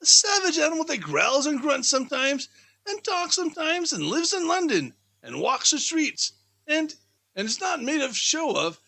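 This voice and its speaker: A man voicing a cheerful, good-natured young Victorian gentleman in a chatty, animated way for a storybook. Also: very high voice, creaky, voice pushed up, full character voice. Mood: playful.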